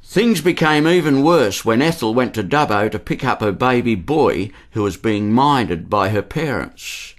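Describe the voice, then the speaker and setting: A man's voice: medium pitch, animated, unhurried, hardly any pauses. A man narrating a scripted historical documentary radio play.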